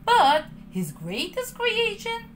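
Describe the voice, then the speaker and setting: Woman, storybook narrator, slow, very lively pitch, talks to children. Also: slight background noise. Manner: animated, addressing children. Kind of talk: reading a children's storybook aloud.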